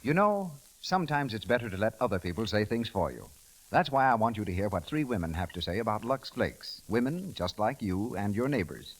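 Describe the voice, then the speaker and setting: A man's voice: lowish voice, expressive, a little fast, slight background noise, low-quality recording. A man reading scripted 1930s radio commercials as a polished, persuasive announcer.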